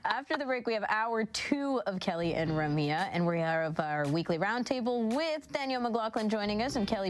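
A woman co-hosting a talk show in a casual, chatty style. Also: mid-range voice.

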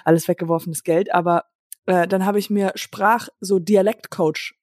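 A woman's voice: fairly low pitch, steady tone, normal speed, darkish tone, no echo, studio quality. A young woman from Germany co-hosting a podcast, chatting loosely and rambling, with English phrases mixed in. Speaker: podcast co-host. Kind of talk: casual chat.

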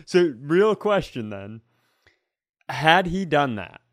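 A man's voice: on the high side, sing-song, takes it slowly, no room sound. American man riffing in dry close sound. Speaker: podcast co-host. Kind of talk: casual comedic chat.

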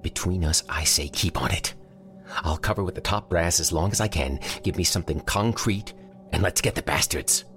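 Man doing a rabbit police chief: gruff, tired, low-voiced, faint music under. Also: normal speed, fairly bright tone. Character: tough middle-aged male rabbit police chief.

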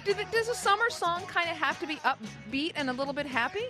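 A woman's voice: extremely high pitch, very animated, fast talker, music in the background. An American woman hosting a radio show, asking questions in a conversational interview style.

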